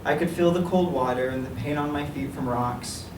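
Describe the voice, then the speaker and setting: A man reading his own writing aloud at a library event, in a measured, even delivery. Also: on the high side. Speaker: writer reading his work. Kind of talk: literary reading. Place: library meeting room. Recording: echoey room, distant mic, some noise.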